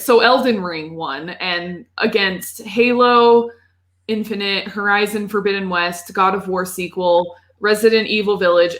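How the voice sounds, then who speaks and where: A woman chatting casually on a gaming podcast, sharing opinions in halting, filler-heavy bursts. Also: medium pitch, a bit bright. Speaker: podcast panelist. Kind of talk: casual group chat about video games.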